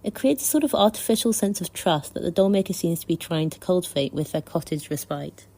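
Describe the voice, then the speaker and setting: A woman reading prepared fan commentary in a measured, articulate and thoughtful way. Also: fairly low pitch, lively intonation, darkish tone. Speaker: listener contributor sending a voice message.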